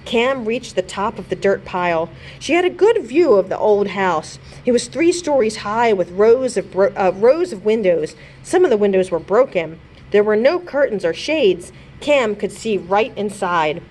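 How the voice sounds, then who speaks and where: A woman's voice: mid-range voice, lively intonation, normal speed, hardly any pauses, darkish tone. A woman reading a children's chapter book aloud expressively, as a librarian would.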